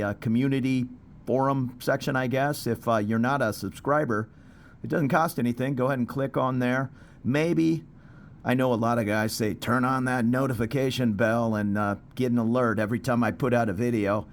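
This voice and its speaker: A man's voice: mid-range voice, moderate pace. A man talking casually as he vlogs, rambling and chatty with plenty of fillers, out on a city street. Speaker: expat vlogger. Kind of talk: casual walking vlog. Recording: street traffic noise behind.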